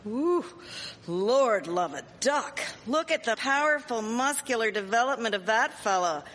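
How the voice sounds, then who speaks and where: A woman's voice: a little high, expressive, a little slow, fairly bright tone. A woman acting an earthy, wry, exclaiming village onlooker in a live radio drama. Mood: amused. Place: performing arts centre stage. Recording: long hall reverb of live stage.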